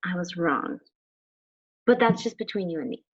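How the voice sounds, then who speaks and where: A woman's voice: on the low side, lively intonation, normal speed, slightly rough, dull audio. An actress reading a teenage girl who shyly asks her mom a favor, at a table read. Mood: sheepish. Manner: shy, hesitant asking.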